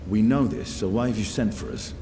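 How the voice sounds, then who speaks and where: A man's voice: on the low side, a little fast, mellow, slight background noise, close to an everyday voice. A man playing a stern, impatient video game character who speaks curtly and demandingly in archaic phrasing. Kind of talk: scripted fantasy game dialogue.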